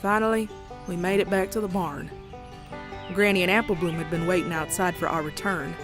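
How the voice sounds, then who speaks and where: A woman's voice: fairly low pitch, fairly even intonation, brisk, music in the background, lightly acted. A woman voicing a plucky young country farm pony in a horror comic dub, with a folksy Southern US drawl. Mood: subdued. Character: young country farm mare, plucky, teasing, later uneasy narrator. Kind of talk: dramatic comic dub with narration.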